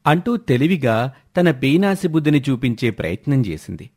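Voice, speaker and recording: A man narrating a scripted moral tale as an expressive storyteller. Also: medium pitch, normal speed, breathy edge.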